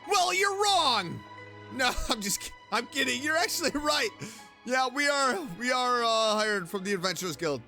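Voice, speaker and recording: A woman's voice: fairly high pitch, very animated, moderate pace, bright, forceful tone. A woman doing casual let's play commentary, playful and chatty, reacting aloud to game characters. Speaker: streamer playing and reacting to a game. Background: game music.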